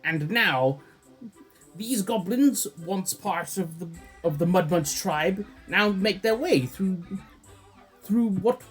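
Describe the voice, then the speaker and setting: A woman's voice: medium pitch, slightly rough. A woman running a tabletop game as game master, narrating in a playful, theatrical way.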